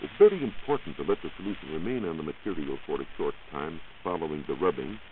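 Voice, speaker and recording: A hissy, narrow old radio recording of an American man with a very low voice. He explains science as a reporter would on a 1940s program, in measured, formal phrases with lively rises and falls. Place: radio studio.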